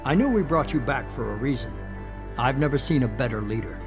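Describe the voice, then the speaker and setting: A man playing an authoritative leader in a video game, with a measured, commanding delivery. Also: mid-range voice, animated, over music, lightly acted. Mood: grave, admiring. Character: authoritative male leader addressing his agent.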